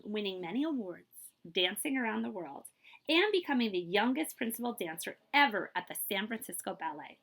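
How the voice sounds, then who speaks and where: A woman reading a children's picture book aloud in an expressive, emphatic storytelling manner, like a librarian at story time. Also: mid-range voice, low-quality recording.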